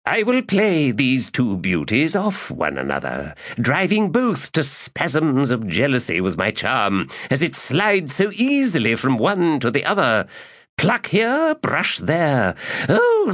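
A male narrator voicing a scheming, lecherous mage who mutters his plots aloud, high and excitable, in an audiobook. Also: slow pace, heavily acted. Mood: gleeful. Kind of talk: audiobook character voice.

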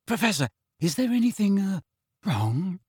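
A man voicing a timid teenage schoolgirl who speaks in a hushed, hesitant way. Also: very high-pitched, brisk, breathy edge, no echo, studio quality, pitched above the performer's own voice.

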